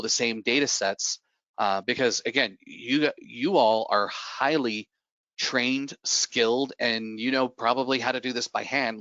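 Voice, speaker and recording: Raspy man with a cold, giving a presentation. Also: lowish voice, sharp tone, no room sound, highs cut off. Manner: explanatory, many fillers and restarts. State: recovering from a cold, getting raspy. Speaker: software presenter. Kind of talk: product presentation on a webinar.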